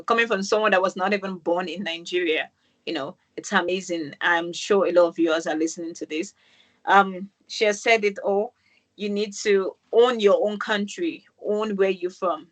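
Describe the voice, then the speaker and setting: A woman's voice: fairly low pitch, fairly even intonation, moderate pace. A woman, from Manchester, hosting an interview show and effusively praising her guest. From England, Manchester. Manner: effusive, keeps calling things beautiful, many "um"s. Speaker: host. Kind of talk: interview host wrap-up.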